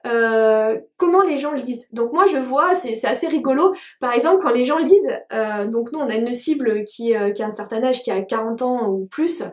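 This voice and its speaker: A woman's voice: on the high side, lively intonation, moderate pace, few pauses, darkish tone, reverberant. A woman coaching online sellers, explaining a web tool in a chatty tutorial with frequent fillers. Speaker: e-commerce coach. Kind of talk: screen-share tutorial.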